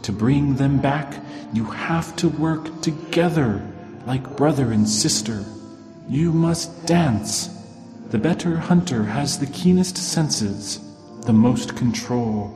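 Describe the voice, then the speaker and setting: A stern, commanding old shaman, voiced by a male storyteller, rings out in long reverb over music. His solemn words rise high as he questions and instructs his people in an old folk tale. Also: animated, slow. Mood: solemn, instructive. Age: older. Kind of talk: oral storytelling of a traditional tale. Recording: long hall reverb, clear music underneath.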